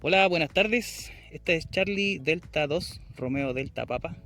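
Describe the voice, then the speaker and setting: A man's voice: a little high, a little slow, sharp tone, soft background music. A man with a Chilean accent talking courteously in a casual outdoor vlog while operating a ham radio. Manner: courteous, uses radio call signs and phonetic alphabet. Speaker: amateur radio operator and vlogger. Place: outdoors on a windy hilltop.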